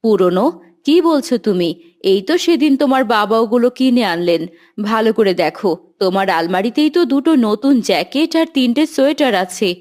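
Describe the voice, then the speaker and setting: A woman acts a caring mother figure from an animated moral tale. She speaks patiently, with gentle admonishment, in a womanly voice that suits family advice. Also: on the high side, a little fast, natural voice. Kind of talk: animated Islamic moral story voice acting.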